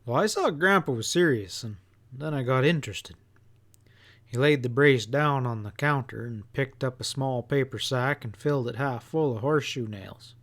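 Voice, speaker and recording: Man narrating a folksy story, medium pitch. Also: moderate pace, fairly bright tone. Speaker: audiobook reader. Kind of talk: expressive first-person story narration.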